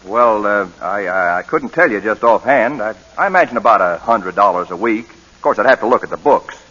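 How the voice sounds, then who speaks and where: An actor playing a grumbling, bluffing young husband with a hesitant, offhand manner in a 1940s radio drama. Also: male voice, lowish voice, lively intonation, a little fast, fairly bright tone. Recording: noisy, dull 1940s radio recording.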